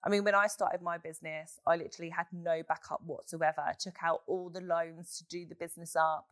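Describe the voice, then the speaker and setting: Hoarse, chatty woman host. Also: lowish voice, fairly quick, no room sound. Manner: chatty, frank, animated. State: hoarse from a chest infection. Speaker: podcast host. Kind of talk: solo podcast Q&A, casual chat.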